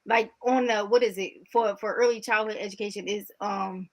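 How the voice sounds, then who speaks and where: A woman's voice: mid-range voice, fairly even intonation, normal speed. A woman answering as an interview guest in an informal, candid way, repeating points for emphasis.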